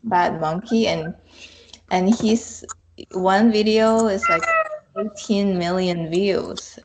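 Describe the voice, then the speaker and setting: Female podcast guest, very slow halting delivery, mid pitch, clearly noisy recording with clicks. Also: big pitch swings. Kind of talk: casual chat.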